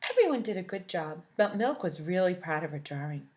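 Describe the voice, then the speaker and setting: A woman teacher reading a picture book aloud to children, expressive and animated, asking her listeners questions along the way. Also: fairly low pitch, brisk.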